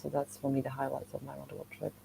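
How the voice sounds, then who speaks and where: A woman with a Polish accent and a low-pitched, soft-edged voice. She talks in a chatty, animated way, like a guest casually telling travel stories. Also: racing, gravelly, breathy edge, no echo. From Poland. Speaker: podcast guest, motorcycle traveller and content creator. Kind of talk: casual conversational storytelling.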